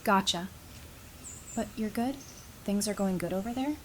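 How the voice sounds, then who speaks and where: A woman playing a wry, quick-witted teacher, speaking casually in an audio drama. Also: mid-range voice, a little hiss or hum, close to an everyday voice. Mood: friendly, hesitant. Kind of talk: fan audio drama dialogue.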